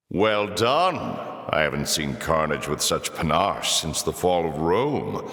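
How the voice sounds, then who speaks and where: An actor playing a sardonic fallen demon lord in a video game, with urbane, mocking delivery and dramatic flourishes. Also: male voice, a bass voice, fairly bright tone, exaggerated voice. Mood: mocking praise. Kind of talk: theatrical video game character acting. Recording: long cavernous reverb.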